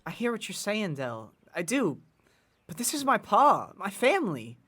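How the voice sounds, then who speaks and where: A man plays an earnest working-class boy in his teens for a period audio drama. His delivery swings up and down in pitch, with folksy old-time slang and a hesitant, worried sincerity. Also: wildly swooping pitch, normal speed, a bit bright, exaggerated voice. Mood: determined.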